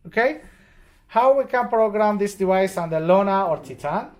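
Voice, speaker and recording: A man who is not a native English speaker gives an unscripted step-by-step product tutorial in short, simple, halting phrases. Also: sits high, lively intonation, a little mellow. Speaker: product demonstrator. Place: indoors at a demo bench with devices.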